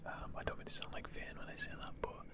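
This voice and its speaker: Man whispering softly. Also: fast. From Lake District, northwest England. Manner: soft unvoiced whisper, rambling with fillers. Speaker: ASMR creator answering viewer questions. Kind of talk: whispered ASMR Q&A.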